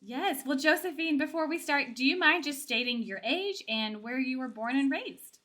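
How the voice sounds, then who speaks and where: A woman's voice: high voice, animated, normal speed, bright, forceful tone. A woman hosting an interview podcast, moving between scripted narration and friendly conversation. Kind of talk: warm-up scripted intro, then conversational interview.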